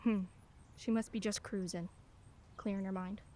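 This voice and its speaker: A woman's voice: mid-range voice, steady tone, normal speed, mellow, close to an everyday voice. A woman acting in a radio play as a weary, wry single mother of a teenager, dry and guarded but polite. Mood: worried, dry.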